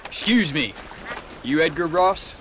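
An actor playing a polite but grim young American cowboy in a western video game. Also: male voice, on the high side, lively intonation, unhurried, background noise. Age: young adult.

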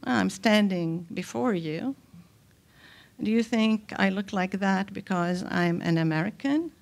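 A woman's voice: mid-range voice, a little slow, gravelly. Older woman giving an emphatic public lecture. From Lebanon. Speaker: panelist, scholar and activist. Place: indoor hall, speaking from a podium. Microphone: microphone on a stand.

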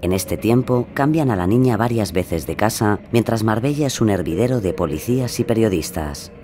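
A man's voice: lowish voice, expressive, normal speed, mellow, a little hiss or hum. A man narrating a scripted true-crime story as the show's narrator.

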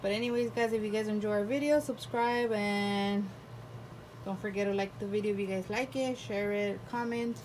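A woman's voice: medium pitch, steady tone, moderate pace. A young woman, a mother, chatting casually as she shows off a new toy to viewers at home. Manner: chatty, narrates each toy piece, plays along with her daughter. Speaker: toy review vlogger, mother. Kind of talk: casual toy unboxing chat. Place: bedroom at home. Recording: noisy home phone recording.

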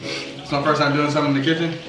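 A man's voice: a little high, little pitch movement, rapid-fire, music in the background. A young man in a home kitchen, hyping up his cooking to viewers with jokes and sound effects. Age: young adult. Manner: hyped, joking, talks to viewers with sound effects. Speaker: cooking partner. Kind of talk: playful cooking vlog chat. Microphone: camera mic across the kitchen. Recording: echoey kitchen with background noise.

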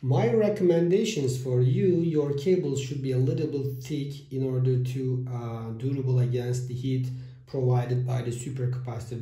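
Man with a dark, mellow voice explaining a build. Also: medium pitch. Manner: halting non-native phrasing with fillers. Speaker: DIY electronics channel host. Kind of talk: step-by-step build tutorial. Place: small room with whiteboard, indoors. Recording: echoey room.

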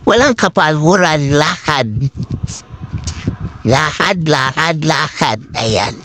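A woman's voice: expressive, slow. A middle-aged woman from the Philippines chatting casually on a walking vlog, repeating short phrases, her voice altered by a voice changer to sound man-like. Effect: voice changer giving a man-like pitch. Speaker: vlogger. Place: outdoors walking along city streets. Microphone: phone at arm's length. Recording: noisy street with passing vehicles.

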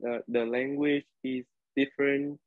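A man's voice: mid-range voice, little pitch movement, a little slow, dry recording. A young man from Vietnam with a Vietnamese accent, telling a class story over a video call, hesitant with many fillers. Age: young adult. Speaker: college student. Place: living room indoors. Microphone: laptop webcam on video call. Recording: dry, tinny video-call audio.

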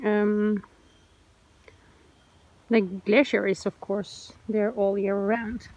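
A woman's voice: medium pitch, drawn-out words, a little hiss or hum. A woman in her forties with a Norwegian accent, casually narrating a sailing vlog from outdoors on a boat. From Norway. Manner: relaxed explaining, pointing out sights. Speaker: sailing vlogger. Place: outdoors on a sailboat at sea.

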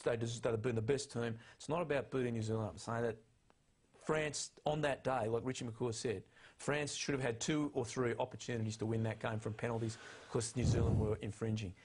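A man talking through match replays with steady mid-range pitch, stabbing at each call with rising emphasis. There is steady noise audible behind his voice throughout. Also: a little fast. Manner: emphatic, rises in pitch to stress key calls. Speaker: rugby analyst. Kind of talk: studio match analysis.

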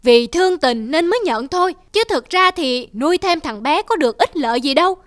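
A woman's voice: high voice, lively intonation, fairly quick, dry recording, studio quality. A woman voicing a stout, stingy middle-aged mistress in a cartoon folk tale, scolding and domineering. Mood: impatient, bossy. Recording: music behind the voice.

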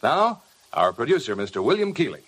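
A man's voice: mid-range voice, big pitch swings, a little fast, fairly bright tone, breathy edge. An American man announcing on 1950 radio, reading scripted lines in a polished, promotional way.

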